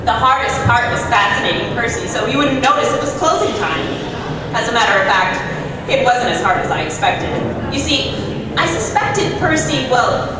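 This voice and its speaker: A woman's voice: on the high side, lively intonation, normal speed, lightly acted. A woman playing a breezy, scheming young heiress, coy and confiding, in old-time radio theatre style. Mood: smug. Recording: echoey hall, far off, background noise.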